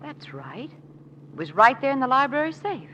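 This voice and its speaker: A woman's voice: sits high, sing-song, moderate pace, fairly bright tone, breathy edge, full character voice. An actress playing a pert society lady and detective's companion in a 1940s radio drama. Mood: chatty, wry. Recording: dull, noisy old 1940s radio broadcast.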